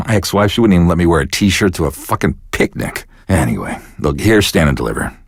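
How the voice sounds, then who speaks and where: Casting: sour, deadpan American divorced DJ, middle-aged, low register with lively swings, close dry studio sound. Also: male voice, fairly quick, creaky, husky, no echo, exaggerated voice. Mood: weary, sardonic. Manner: deadpan, sardonic, profane. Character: bitter, self-pitying divorced middle-aged male radio DJ. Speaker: radio DJ. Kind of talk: casual radio DJ patter.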